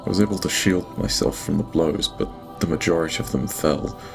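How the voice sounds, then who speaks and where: An actor playing a guilt-ridden lord in an audio drama, dictating a private log in halting, stammering speech broken by sighs. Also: male voice, low voice, vocal fry. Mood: distressed, remorseful. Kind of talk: audio drama acting, personal log monologue. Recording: music behind the voice. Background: music.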